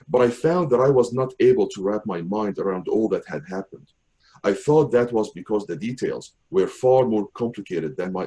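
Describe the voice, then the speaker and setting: A middle-aged man from Syria, reading his personal memoir aloud in a measured, careful way. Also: fairly low pitch, warm tone. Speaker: author reading his essay.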